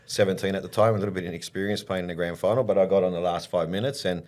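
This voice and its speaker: A man, middle-aged, telling stories from his playing days in a relaxed interview, with many pauses and restarts. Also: sits low. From Australia. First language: English. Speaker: football coach and former player, podcast guest.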